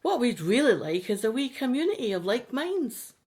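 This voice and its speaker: A woman playing a gentle, idealistic settler in an audio drama, explaining things earnestly. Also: fairly high pitch, big pitch swings, normal speed.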